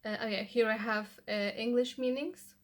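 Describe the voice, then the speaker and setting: A woman's voice: fairly high pitch, fairly even intonation, normal speed. Chatty young woman, Polish native speaker. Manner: chatty, loose, restarts often with "like" and "you know". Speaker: language-learning vlogger. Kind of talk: casual how-to vlog.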